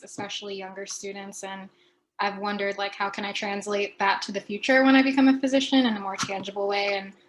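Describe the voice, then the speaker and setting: A woman's voice: mid-range voice, a little hiss or hum, rough recording. A woman in her twenties, a medical student, introducing herself on a video call.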